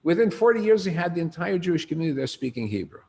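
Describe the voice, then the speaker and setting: Older male lecturer, gravelly, conversational teacher who quizzes the room. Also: a little high, racing. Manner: animated storyteller, asks the room questions. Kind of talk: informal lecture to a group.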